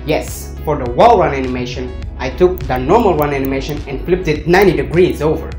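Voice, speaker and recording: A young man presents a scripted programming lesson with a playful, step-by-step delivery. He speaks into a handheld mic in an echoey indoor room. Music plays clearly underneath his voice. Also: a little high, brisk, a little mellow. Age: young adult. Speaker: tutorial host. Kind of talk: scripted coding tutorial narration. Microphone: handheld microphone with furry windscreen. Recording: roomy echo, music underneath. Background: background music.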